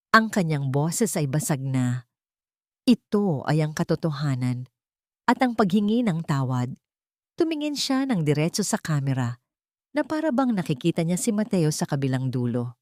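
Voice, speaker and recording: Low-voiced woman narrating a melodrama. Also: darkish tone, dry recording, clean studio sound. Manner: emotive, melodramatic storytelling. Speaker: storyteller. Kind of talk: dramatic scripted story narration.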